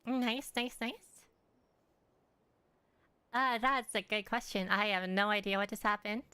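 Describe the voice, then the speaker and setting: A woman's voice: mid-range voice, unhurried, bright, forceful tone, clean tone, no room sound. A young woman live streaming a game from her room, chatting offhandedly and rambling as she answers viewers. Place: room at home.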